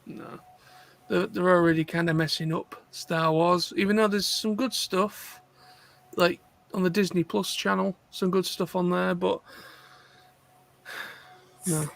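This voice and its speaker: High-pitched creaky man chatting casually. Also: steady tone, slow, pauses often, fairly bright tone. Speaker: chat participant. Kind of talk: casual chat.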